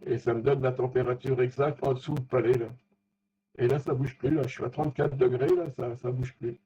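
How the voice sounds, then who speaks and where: A man in a casual technical chat as an amateur radio operator, speaking haltingly and often searching for words. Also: mid-range voice, little pitch movement, mellow, slightly rough. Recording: dull, lo-fi radio contact audio.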